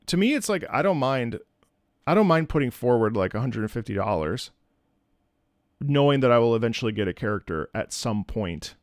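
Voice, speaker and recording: A man's voice: mid-range voice, no room sound, clean studio sound. A man streaming from home, chatting casually with viewers in a rambling, opinionated way, often repeating and restarting his phrases. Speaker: streamer and content creator. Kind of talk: casual livestream chat with viewers. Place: indoors at home.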